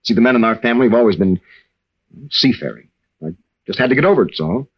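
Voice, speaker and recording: An actor playing a troubled young American navy veteran and husband, speaking earnestly and haltingly in an old radio drama. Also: male voice, medium pitch, expressive, breathy edge. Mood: haunted, confessional. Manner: halting, earnest, with stammered restarts. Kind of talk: 1940s-50s radio drama acting. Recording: dull old-time radio recording.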